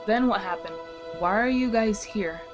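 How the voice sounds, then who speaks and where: An actress voices a young woman who is a friend to heroes in a motion comic. She sounds casual, caring and affectionate at a middle pitch. Soft music plays behind her. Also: normal speed, close to an everyday voice. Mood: curious concern.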